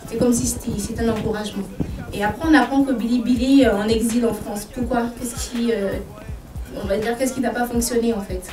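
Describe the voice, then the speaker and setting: Poised TV hostess in an echoey studio. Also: female voice, on the high side, steady tone, brisk. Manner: poised, formal introductions and questions. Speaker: TV show host. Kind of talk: formal TV interviewing. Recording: echoey room, distant mic, music behind.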